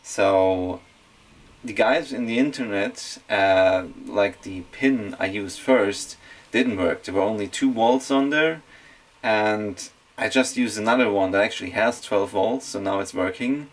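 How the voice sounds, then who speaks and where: Relaxed young man with a low voice. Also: unhurried. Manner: relaxed and self-deprecating, with many fillers and restarts. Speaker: vlogger. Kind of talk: casual DIY vlog narration. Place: inside a half-built van, outdoors beside a building. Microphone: camera mic at arm's length.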